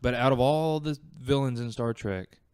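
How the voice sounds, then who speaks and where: A man's voice: mid-range voice, moderate pace, darkish tone, smooth, no echo, clean studio sound. A man chatting casually and explaining his views as a podcast guest. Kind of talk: casual chat.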